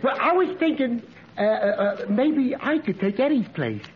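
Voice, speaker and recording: An actor playing a dim-witted, good-natured barfly with a halting New way of talking, in old radio comedy style. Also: male voice, very high voice, very animated, phone-quality sound, over a noisy crowd. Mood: dopey, hopeful. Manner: dopey, halting. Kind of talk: 1940s radio comedy acting. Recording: noisy old radio recording before live audience.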